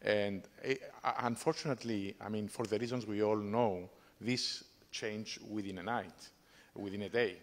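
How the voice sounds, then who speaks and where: A man's voice: lowish voice, fairly even intonation, measured pace. A man speaking as a diplomat on a panel, conversational and hesitant. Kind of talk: formal panel discussion. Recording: long hall reverb.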